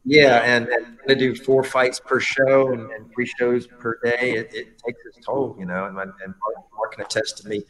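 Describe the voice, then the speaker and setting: A man's voice: medium pitch, little pitch movement, normal speed, a bit bright, low-quality recording. A man talking casually as a podcast guest, rambling with frequent restarts and fillers as he explains his work. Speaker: guest, stage combat performer.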